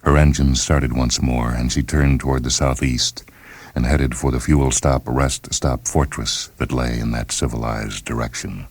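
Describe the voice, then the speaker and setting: Very deep-voiced American male story narrator. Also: fairly even intonation, a little slow, dry recording. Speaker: narrator and host. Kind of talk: dramatic scripted story narration. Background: music under the reading.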